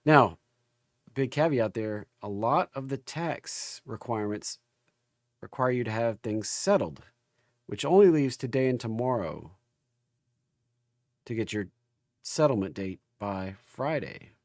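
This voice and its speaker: Male livestream market host, gravelly and under the weather, informal folksy delivery, dry recording. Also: mid-range voice, expressive, a little slow, pauses often, no room sound. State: recovering from an illness. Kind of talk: casual livestream chat and market commentary.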